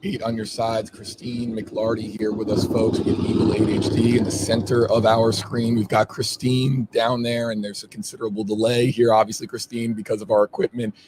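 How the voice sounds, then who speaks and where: A hoarse man anchoring informal live news coverage, halting and repeating words as he goes. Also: fairly low pitch, little pitch movement, very noisy.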